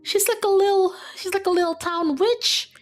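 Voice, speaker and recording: A woman's voice: extremely high pitch, moderate pace, faint music behind. A woman streamer chatting casually while playing a visual novel, rambling and informal with frequent giggles. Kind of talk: casual chat, live game stream.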